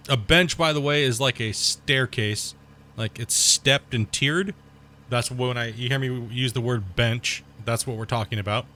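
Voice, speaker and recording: A man's voice: mid-range voice, measured pace, a little hiss or hum. A man who is sick and losing his voice, giving casual, rambling commentary over his own gameplay and explaining terms as he plays. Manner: rambling, explains terms, excited outbursts at mishaps. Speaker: gaming channel host.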